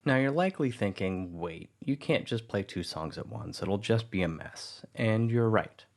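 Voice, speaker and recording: A man narrating a music-editing tutorial in a relaxed, conversational way. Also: fairly low pitch, moderate pace. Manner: relaxed, conversational, thinking aloud.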